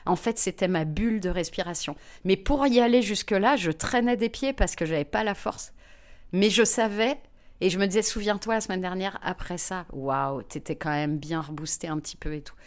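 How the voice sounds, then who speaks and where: A woman comedian, a guest in an interview, telling lively personal anecdotes with frequent fillers. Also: fairly low pitch, moderate pace. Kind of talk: anecdotal storytelling in conversation.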